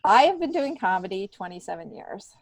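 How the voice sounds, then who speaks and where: A woman's voice: mid-range voice, sing-song, brisk, no echo, rough recording. Chatty middle-aged American woman, close dry sound. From upstate New York, United States. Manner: chatty, laughs readily. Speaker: podcast guest, stand-up comedian and writer. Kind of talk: casual interview chat.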